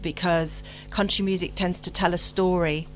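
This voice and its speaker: A woman's voice: on the low side, fairly even intonation, moderate pace, slight background noise. Thoughtful woman musician, hesitant speech. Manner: thoughtful, hesitant, searches for words. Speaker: musician guest. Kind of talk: casual interview chat.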